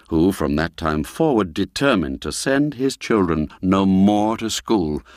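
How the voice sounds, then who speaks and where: A man narrating a classic literary tale in a measured, dramatic storytelling manner, phrase by phrase. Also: low voice, dry recording, clean studio sound. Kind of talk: scripted literary narration, audiobook reading.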